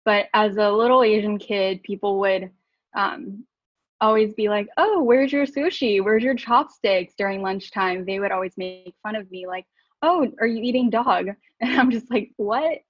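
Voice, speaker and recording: A woman's voice: medium pitch, normal speed, no room sound, muffled recording. A woman from Southern California who grew up speaking Mandarin and English, co-hosting a podcast in a candid, reflective way and laughing often. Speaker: podcast co-host. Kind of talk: casual conversation.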